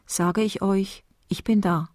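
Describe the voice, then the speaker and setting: A woman from Basel with a Swiss German accent, calmly reading a meditative sermon. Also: mid-range voice, dry recording, studio quality. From Switzerland, Basel. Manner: calm, measured, reflective reading. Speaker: preacher giving a spoken devotion.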